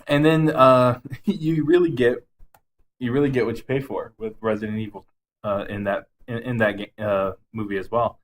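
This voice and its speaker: A man's voice: medium pitch, steady tone, normal speed, slight background noise. A man co-hosting a podcast in casual chat about movies, often chuckling as he talks. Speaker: podcast co-host.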